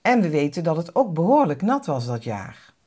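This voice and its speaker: Female documentary narrator, slightly low and husky, lively intonation, conversational. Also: normal speed.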